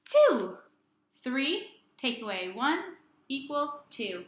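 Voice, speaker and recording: Lady teacher reading to little kids, really high voice, slow n sing-song, echoey room. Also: sing-song. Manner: sing-song, deliberate, asks questions and answers them. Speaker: early-grades teacher. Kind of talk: read-aloud lesson for young children.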